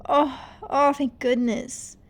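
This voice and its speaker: A woman voicing a desperate young half-demon girl singer in a storybook, with frantic, breathy pleading. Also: high-pitched, flat delivery, slow pace, pitched above the performer's own voice, exaggerated voice. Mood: relieved.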